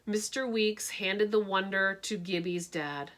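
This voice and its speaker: A woman teacher reading a picture book aloud, expressive and dramatic, shifting her tone for suspense. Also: medium pitch, measured pace.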